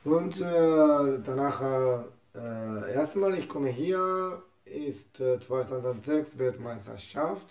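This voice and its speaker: A man from Japan who speaks German as a second language, answering interview questions in halting, broken German with many hesitations. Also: mid-range voice, expressive, a little mellow, reverberant. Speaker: interview guest, football fan. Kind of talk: casual interview in non-native German.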